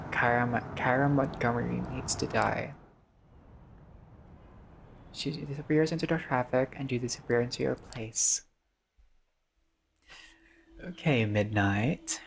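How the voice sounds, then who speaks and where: A gamer doing a casual playthrough, reading visual novel text aloud with informal asides, some swearing and the odd stumble. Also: medium pitch. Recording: clearly noisy recording.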